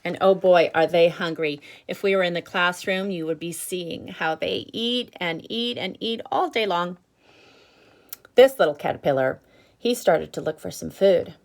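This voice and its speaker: A woman teacher reading aloud to children, with expressive emphasis and instructive explanatory asides. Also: medium pitch. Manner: instructive, checks in with listeners.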